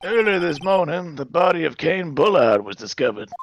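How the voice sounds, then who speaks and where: Man playing a smug, gravelly prosecutor. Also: fairly high pitch, big pitch swings, normal speed, a little hiss or hum, poor audio. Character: smug, cool, taunting prosecutor who says amigo. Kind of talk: live fan dub of a video game.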